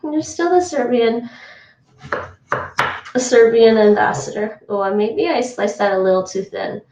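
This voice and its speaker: A chatty woman from Toronto livestreams from her home kitchen, her fairly high voice answering chat between easy laughs. She sits back from the mic, and the room sounds echoey around her. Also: unhurried, warm tone, recorded from a distance. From Toronto, Canada. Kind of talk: casual livestream chat while cooking.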